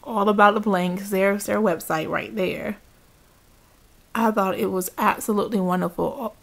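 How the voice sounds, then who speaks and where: A woman's voice: medium pitch, fairly even intonation, a little slow, vocal fry, fairly bright tone. A woman chatting informally and enthusiastically as she shows things to the camera in a casual home craft vlog. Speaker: craft vlogger. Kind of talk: casual haul show-and-tell. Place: indoors at home. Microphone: handheld camera, speaker behind it.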